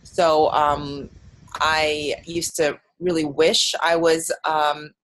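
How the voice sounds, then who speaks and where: A woman's voice: deep voice, little pitch movement, extremely slow, a bit bright, no room sound, low-quality recording. A woman, raised in Manhattan, giving casual answers in an interview. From Manhattan, United States.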